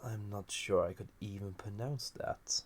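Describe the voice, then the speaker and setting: A man playing an ancient banished villain, a magical being, in an intimate audio roleplay, musing theatrically with a gravelly, husky, breathy voice. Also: lowish voice, a little slow. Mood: bemused, wry. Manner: musing, theatrical, drifting into breathy near-whispers. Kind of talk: scripted audio roleplay, intimate monologue to listener.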